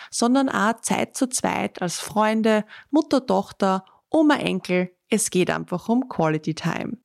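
A woman's voice: on the high side, vocal fry, a little mellow, no echo, clean studio sound. A woman from Austria with an Austrian accent, hosting a travel podcast, narrating and interviewing. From Austria (born in Carinthia, grew up in Styria). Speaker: podcast host.

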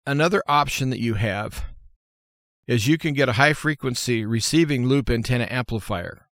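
A man's voice: mid-range voice, normal speed, a bit bright, no echo. An American man hosting a show, giving informal how-to advice in a plainspoken, folksy, explanatory way. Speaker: radio show host.

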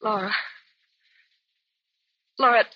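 An actress playing a fragile, frightened, high-strung woman in an old-time radio suspense drama, speaking haltingly and pleadingly. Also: close to an everyday voice. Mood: anxious, confiding. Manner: halting, pleading, repeats herself. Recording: muffled old radio recording.

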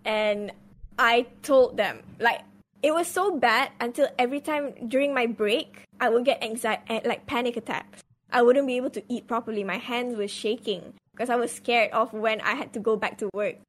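A woman's voice: fairly high pitch, moderate pace. A young adult woman, a guest on a live stream, chatting casually and swearing as she tells a story.

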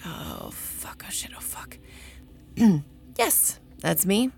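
Woman acting a gravelly, sardonic vampire heroine. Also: fairly low pitch, wildly swooping pitch, drawn-out words, darkish tone, in a whisper, soft background music, exaggerated voice. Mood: flustered. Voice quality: gravelly, creaky. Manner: wry, conversational, sarcastic asides. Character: sardonic, defiant, foul-mouthed young female vampire researcher. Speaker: narrator and lead character. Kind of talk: first-person audio drama narration.

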